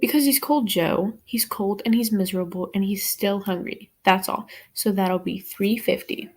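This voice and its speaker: A woman's voice: medium pitch, normal speed, natural voice. A woman playing a sassy, no-nonsense diner waitress in a student radio play.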